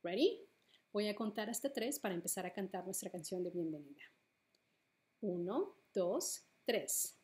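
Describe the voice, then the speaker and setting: Middle-aged woman, slow pace, medium pitch, storytime read-aloud, deliberate phrasing for preschoolers. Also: animated, a little mellow. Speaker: children's librarian, storytime host. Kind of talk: bilingual picture-book read-aloud for preschoolers. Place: living room at home, indoors.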